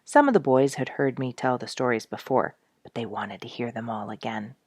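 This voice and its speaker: A woman's voice: rumbling low, normal speed, a little mellow, slightly rough, dry recording, studio quality, pitched below the performer's own voice. A woman reading as a wry soldier at sea. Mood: reflective. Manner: wry, musing letter reading. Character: male soldier writing home from a troopship, good-humored.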